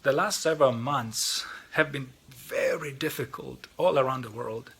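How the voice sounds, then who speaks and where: A man's voice: mid-range voice, measured pace, vocal fry, a bit bright, slightly rough. A man with a Polish accent, speaking for a lay missionary group in an earnest appeal to parishioners, often repeating words as he restarts. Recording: video message.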